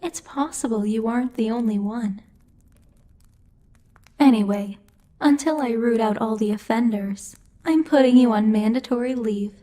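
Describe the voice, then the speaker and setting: A woman playing a commanding demon lord in an ASMR roleplay, speaking in a measured, imperious and teasing way, like a strict but protective boss. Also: on the high side, warm tone, close to an everyday voice. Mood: composed, wry.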